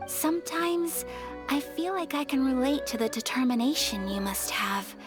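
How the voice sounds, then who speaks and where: A woman playing a gentle, refined young noblewoman in a video game, speaking softly with earnest, poised affection. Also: sits high, animated, moderate pace, over music. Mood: earnest, tender. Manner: soft, poised, heartfelt delivery. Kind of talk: video game voice acting, sincere and tender.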